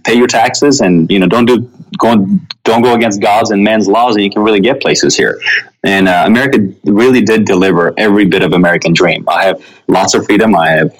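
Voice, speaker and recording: A man's voice: lowish voice, rough recording. A man from Armenia, a guest in an interview, telling his life story earnestly with frequent ums and self-corrections. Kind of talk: reflective personal storytelling.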